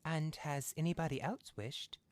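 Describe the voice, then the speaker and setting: A man's voice: on the high side, a little slow, pitched above the performer's own voice, close to an everyday voice. A man voicing a polite, curious elderly mother in a storybook reading. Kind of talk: storybook character voice.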